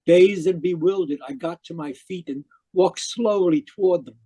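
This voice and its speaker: An actor playing an obsessive, domineering uncle in an old-style radio mystery, with theatrical, emphatic delivery. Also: male voice, high-pitched, moderate pace, dark tone, slightly rough. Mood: grave, haunted narration. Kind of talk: 1940s radio mystery acting, narrating and dialogue. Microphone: video call. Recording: muffled-edged online video call.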